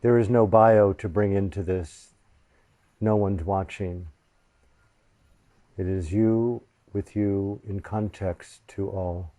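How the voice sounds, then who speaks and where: A man guiding a meditation in a soft, reverent voice, pausing often between phrases. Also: deep voice, steady tone, dry recording. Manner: soft, reverent, unhurried, with long pauses. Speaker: meditation teacher. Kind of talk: guided meditation.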